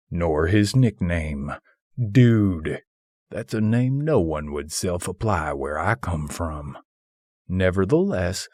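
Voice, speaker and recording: A man reading a humorous philosophical essay as scripted audiobook narration, with husky, measured, deliberate phrasing. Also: low voice, darkish tone, no echo, clean studio sound.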